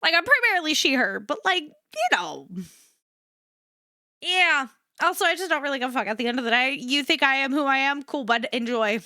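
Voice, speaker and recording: A woman with a high, very animated voice that is slightly rough at the edges, chatting to her stream audience. She rambles candidly, swears freely and circles back to repeat her points. The sound is close and dry. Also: brisk, dry recording. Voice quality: versatile voice, swinging between low and high. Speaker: livestreamer chatting with viewers. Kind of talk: casual rambling chat to an audience.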